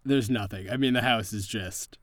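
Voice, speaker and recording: A man's voice: lowish voice, normal speed, fairly bright tone, dry recording, studio quality. A man running a tabletop role-playing game as game master, narrating in a casual, improvised way.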